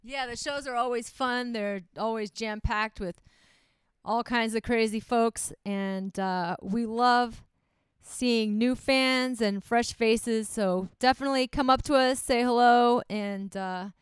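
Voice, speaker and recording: A woman's voice: on the high side, fairly even intonation, slow, clean tone, dry recording. A woman co-hosting a comedy podcast, bantering casually with a teasing, sarcastic manner. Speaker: comic and podcast co-host.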